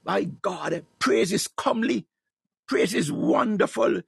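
A man's voice: high voice, lively intonation, slow, gravelly, husky, no room sound, studio quality. A man preaching a fervent charismatic sermon, exclamatory and repetitive, reciting scripture and breaking into praise.

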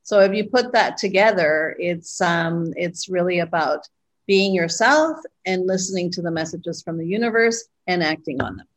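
A middle-aged woman speaks as an interview guest, her voice sitting a little low. She recalls her past slowly and reflectively, stopping often to search for words. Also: low-quality recording. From Costa Rica, raised abroad. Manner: reflective, pausing with fillers. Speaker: guest, retreat owner.

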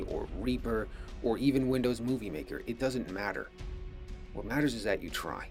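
A man who voice acts, delivering a prepared testimonial straight to listeners. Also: lowish voice. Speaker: amateur voice actor. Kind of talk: scripted testimonial, addressing listeners.

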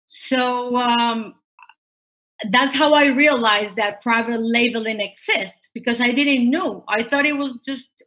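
A woman from Costa Rica with a Spanish accent, a guest answering interview questions in a halting way. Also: fairly high pitch, pushed and bright. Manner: halting, many fillers and restarts. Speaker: podcast guest, sourcing expert.

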